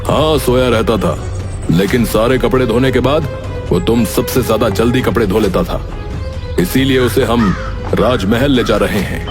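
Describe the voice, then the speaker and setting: A man playing an authoritative royal official or king in an animated fairy tale, giving deliberate orders. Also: mid-range voice, music in the background, natural voice. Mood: commanding. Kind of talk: Hindi animated moral-story voice acting.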